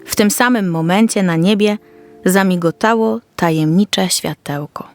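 A woman's voice: medium pitch, a little slow, faint music behind. A woman storyteller reading a scripted Christmas fairy tale for children.

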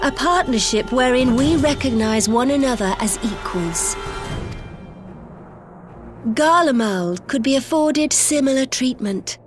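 Young video game sultana: higher pitched, formal, earnest, regal. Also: female voice, expressive, unhurried, music in the background. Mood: earnest, resolute. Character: small, dignified young sultana, earnest and resolute.

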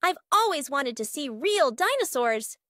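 A woman's voice: high voice, very animated, a little slow, pushed and bright, no room sound, studio quality, heavily acted. A woman voicing a curious, eager young boy adventurer in a children's storybook, earnest and questioning. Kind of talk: children's storybook character reading.

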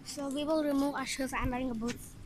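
A young woman narrating a handheld travel vlog in simple, halting English, explaining things as she walks. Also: high voice, fairly even intonation. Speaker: vlogger touring a cave temple. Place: outdoor temple grounds and a large cave. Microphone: phone camera held by hand. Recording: phone recording with loud ambient noise.

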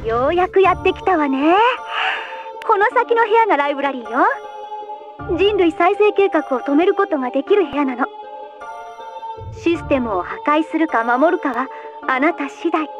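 A woman's voice: sits high, lively intonation, moderate pace, long pauses, highs cut off. A woman voicing a perky, playful young guide in a video game, chirpy and casual as she explains and teases. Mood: bright, playful, then earnest. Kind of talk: animated Japanese video game cutscene acting. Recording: music behind the voice.

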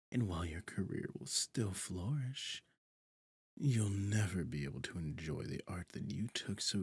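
A man playing a smug, possessive demon deal-maker in an ASMR roleplay, husky and breathy, often near a whisper, theatrical and taunting. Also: low-pitched, a bit bright, dry recording, heavily acted. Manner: theatrical, taunting, slow and deliberate. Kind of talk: ASMR roleplay audio drama.

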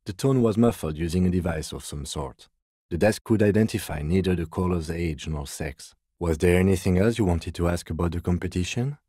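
An actor playing a composed, by-the-book middle-aged police detective in a video game, speaking in a measured, formal, deadpan way. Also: male voice, low-pitched, husky, no room sound, studio quality. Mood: matter-of-fact. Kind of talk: scripted game dialogue.

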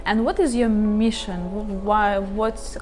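A woman's voice: medium pitch, steady tone, slow pace, a little mellow, soft background music. A woman in her thirties presenting and asking questions as a channel host, on a lapel mic indoors. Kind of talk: presenting and interviewing. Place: furnished indoor lounge.

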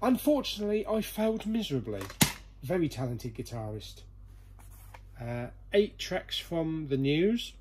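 Higher-voiced man vlogging casually. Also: very animated, unhurried, darkish tone, a little hiss or hum. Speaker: vlog host. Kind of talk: casual chat while going through a collection. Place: indoor storeroom full of boxes.

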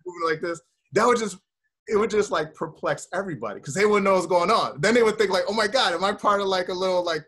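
An American man in his thirties chatting casually on a video call, telling stories in a slangy, rambling, animated way. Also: high-pitched, fast, breathy edge. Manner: animated, slangy, rambling with frequent "you know" and "like". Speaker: actor being interviewed. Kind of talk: casual chat, storytelling. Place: indoor room, video call.

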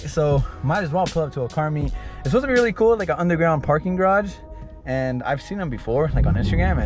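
Excitable young car vlogger guy. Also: medium pitch. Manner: excited, laughing, slangy, says bro a lot. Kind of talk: casual vlog narration while driving. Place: in a car and at an underground car meet. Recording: music loud behind the voice. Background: loud music and car noise.

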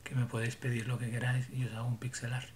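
A man's voice: medium pitch, completely flat delivery, quick pace, slight background noise. A man in his forties from Barcelona, chatting casually with viewers on a livestream from a small room at home, often rambling and muttering to himself. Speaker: livestream host.

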